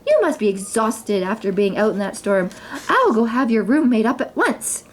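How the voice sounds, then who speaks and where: A woman voicing an excitable, bossy, scheming middle-aged queen from a fairy tale, exclaiming and fussing as she gives orders. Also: on the high side, moderate pace. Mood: soothing. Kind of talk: children's storybook character reading.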